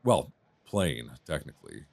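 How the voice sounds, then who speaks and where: A friendly, flirtatious traveller acted by a man with a deep voice and lively intonation. He is chatty and self-effacing, hesitating as he goes, recorded close and dry for intimate ASMR roleplay. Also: measured pace, gravelly, no echo, heavily acted. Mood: friendly, wry. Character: friendly, flirtatious rough-and-tumble male traveller, a writer. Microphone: close binaural microphone.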